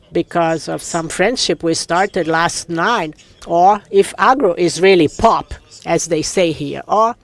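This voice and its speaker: A man giving a simultaneous interpretation of a conference talk, in a steady, measured way. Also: on the high side, gravelly.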